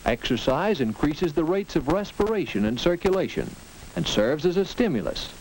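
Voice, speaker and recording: Male American narrator, 1950s classroom-film style: instructive, expressive melodic delivery, speaks to the viewer, slightly noisy old soundtrack. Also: fairly high pitch, normal speed. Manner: instructive, rhetorical, addressing the viewer directly.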